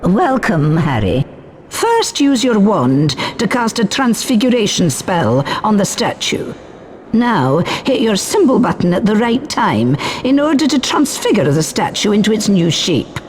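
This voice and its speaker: A brisk, strict professor in a magic school video game. She speaks precisely and sternly, with wide swings of pitch over faint background noise. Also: big pitch swings. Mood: instructing. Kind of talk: video game character acting.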